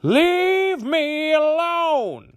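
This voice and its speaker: An old storybook grandmother at the end of her patience, acted out by a man. The voice leaps very high and yells in long, drawn-out words, thoroughly exasperated. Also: animated, no room sound, clean studio sound, heavily acted. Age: older. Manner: drawn-out, high-pitched yelling. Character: exasperated old grandmother shouting to be left alone. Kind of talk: storybook character voice.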